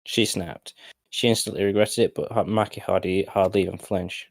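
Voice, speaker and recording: A man narrating a story as an amateur, halting and often correcting himself. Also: sits low, fairly even intonation, no echo. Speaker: narrator of fan fiction storytime.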